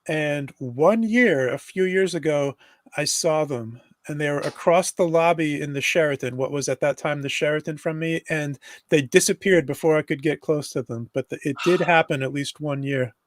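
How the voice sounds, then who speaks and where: A man's voice: on the high side, normal speed. A man chatting casually on a fan panel, joking in a mock-serious way. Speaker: podcaster, panel guest. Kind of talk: casual humorous chat.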